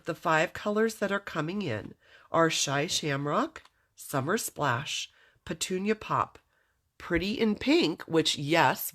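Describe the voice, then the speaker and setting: A low-pitched woman from Southern California with a stuffy, congested nose, hosting a live craft demonstration. She chats casually with viewers and greets them one by one, laughing often as she goes. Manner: chatty and friendly, laughs often between remarks. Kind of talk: casual livestream chat, greeting viewers by name.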